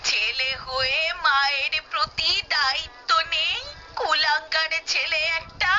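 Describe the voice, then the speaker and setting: Woman acting a shrill, demanding old village mother. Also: steady tone. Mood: scolding, demanding. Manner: wailing, drawn-out complaints. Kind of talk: cartoon voice acting. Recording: muffled audio with loud background music.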